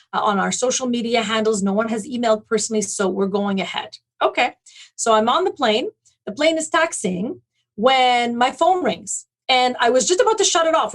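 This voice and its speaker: Woman guest on an interview, slightly high voice, explains stuff in detail, chatty and articulate. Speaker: interview guest, event planning business owner. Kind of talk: conversational interview storytelling.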